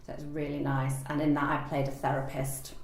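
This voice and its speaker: A woman with a posh-sounding, fairly low voice talks in a chatty, animated way with plenty of ums as an interview guest. She sounds somewhat distant from the mic, and the room around her is roomy and echoing. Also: fairly quick. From based in Manchester, England. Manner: animated, chatty, self-deprecating, with frequent ums. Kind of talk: casual interview chat.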